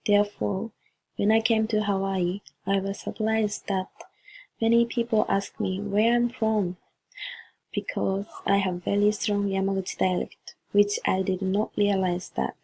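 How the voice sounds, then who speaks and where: A woman's voice: mid-range voice, flat delivery, creaky, warm tone, husky, rough recording. Japanese-accented woman reading a presentation. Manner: careful, deliberate reading with learner's English. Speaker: student presenter. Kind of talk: read class presentation.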